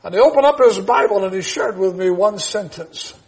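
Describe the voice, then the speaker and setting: A man in his seventies preaches in a church, his voice raised high for a man and full of gravel. There is a husky grain under it, and he leans hard on the scripture lines, swelling loud and then dropping back. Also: a little fast. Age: 70s. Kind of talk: sermon with prayer and scripture reading.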